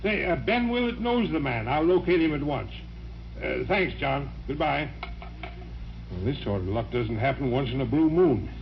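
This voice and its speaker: An actor playing a determined, urgent small-town doctor in an old-time radio drama. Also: male voice, on the high side, expressive, normal speed. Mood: urgent, businesslike. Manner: urgent, authoritative. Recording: dull vintage radio recording, faint hiss.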